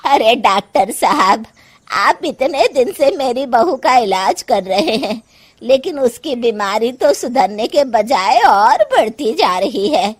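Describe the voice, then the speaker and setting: A woman's voice: on the high side, big pitch swings, takes it slowly, husky, exaggerated voice. A woman playing an exasperated, scheming older mother-in-law with a scolding, sarcastic manner in a comic story. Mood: worried. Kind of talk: animated comic story dialogue.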